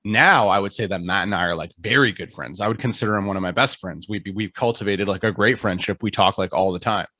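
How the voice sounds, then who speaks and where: A man's voice: fairly low pitch, fast talker, few pauses, pushed and bright, no room sound. Chatty young man saying "like" a lot. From Ottawa, Canada. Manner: chatty, heavy use of "like". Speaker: podcast guest. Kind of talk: casual podcast conversation.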